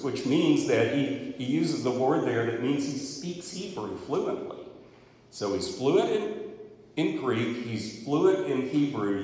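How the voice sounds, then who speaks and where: A man's voice: medium pitch, a little mellow. A man preaching, reading scripture aloud and then explaining it in a conversational way. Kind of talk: expository Bible teaching. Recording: echoey hall with long reverb.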